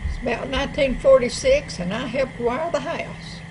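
A woman in her eighties with an East Tennessee accent, plainly reminiscing about her rural childhood in a small library room. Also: high voice. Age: 80s. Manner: unhurried, plain-spoken. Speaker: storyteller. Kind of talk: casual reminiscing. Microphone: table microphone. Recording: noisy room recording.

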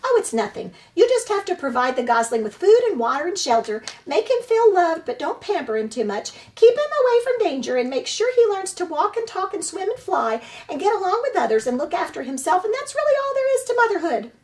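A woman's voice: extremely high pitch, big pitch swings, darkish tone, pitched above the performer's own voice. A woman doing a squawking old mother goose. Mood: bossy. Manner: squawking, rapid and scolding. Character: old gray female goose, bossy and blunt.

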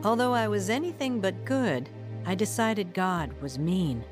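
A woman's voice: medium pitch, expressive, measured pace, loud music behind the voice, close to an everyday voice. An actress playing a woman soberly recounting her troubled youth, as first-person narrator of a radio drama. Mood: reflective.

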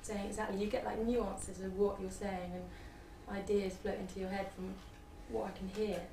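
Woman interviewer, mid-pitched, hesitant and rambling, heard off-mic in an echoey room. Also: flat delivery, normal speed, creaky, warm tone, distant mic, slight background noise. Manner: hesitant, many fillers and restarts. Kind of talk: interview questions. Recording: roomy, off-mic with faint ambience.

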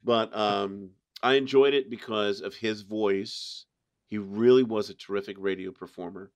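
A man's voice: on the low side, steady tone, measured pace. A middle-aged man hosting a podcast, giving his review in casual conversation. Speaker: podcast host.

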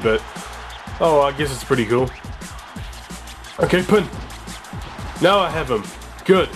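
A young man with a slightly sore voice commenting casually on a wrestling video game and reading its dialogue aloud. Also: mid-range voice. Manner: chatty, excitable, reads game dialogue aloud. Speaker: let's play gamer.

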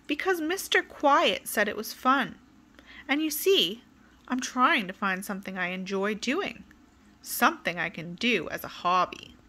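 A woman voicing a grouchy grown-up male storybook character who moans and complains loudly. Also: on the high side, expressive, unhurried, exaggerated voice. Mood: complaining. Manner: grumbling, loud and cross.

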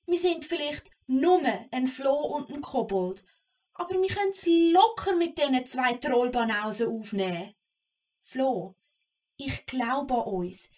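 A woman's voice: high voice, animated, slow pace, a little mellow, distant mic. A woman voicing a plucky, bossy, cheerful young kobold in a children's audio drama, emphatic and encouraging. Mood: determined.

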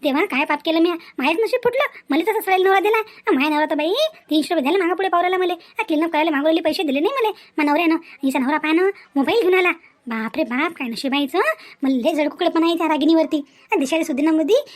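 A woman's voice: very high-pitched, rapid-fire. A woman voicing a vain, envious married sister-in-law in a cartoon, muttering insults and self-pity in a Vidarbha Marathi accent. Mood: bitter, envious. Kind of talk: melodramatic cartoon voice acting.

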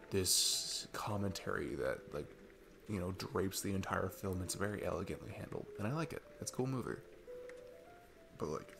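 Man acting as sweet single dad on a date, deep voice, creaky, earnest geeky rambling, ASMR roleplay, music behind. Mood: enthusiastic, rambling. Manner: rambling, hesitant, enthusiastic. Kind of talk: ASMR roleplay, intimate casual chat.

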